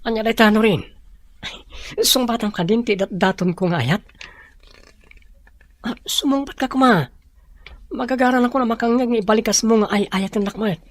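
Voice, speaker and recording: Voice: mid-range voice, moderate pace, long pauses, no room sound, close to an everyday voice. An actor playing an ardent young male suitor, eager and apologetic, in a radio drama with an Ilocano Filipino accent. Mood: eager, courting. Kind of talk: Philippine radio drama acting.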